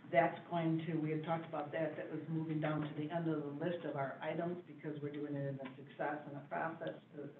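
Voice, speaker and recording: A man giving a building report at a township board meeting, halting with many fillers and restarts. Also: fairly high pitch, noisy background. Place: meeting room. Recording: echoey room, far mic, phone-like band.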